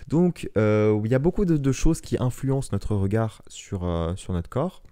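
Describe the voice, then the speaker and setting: Young guy doing a solo podcast, fired up and earnest, kinda low warm voice. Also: very animated, normal speed. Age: young adult. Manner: earnest and impassioned, many restarts and fillers. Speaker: podcast host.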